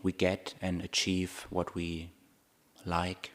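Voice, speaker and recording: A man guiding a meditation as a Buddhist teacher, speaking in short, measured phrases with long pauses between them. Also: deep voice, monotone, a bit bright. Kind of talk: guided meditation talk.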